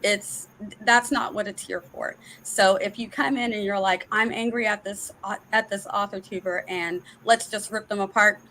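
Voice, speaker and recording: A woman's voice: mid-range voice, fairly even intonation, moderate pace, bright, forceful tone, a little hiss or hum, low-quality recording. A woman hosting a casual online chat, talking emphatically with restarts and frequent laughs, on a video call. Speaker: host.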